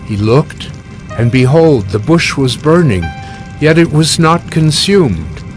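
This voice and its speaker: A man narrating scripture from a script in a measured, formal storytelling manner. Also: on the high side, very animated, over music.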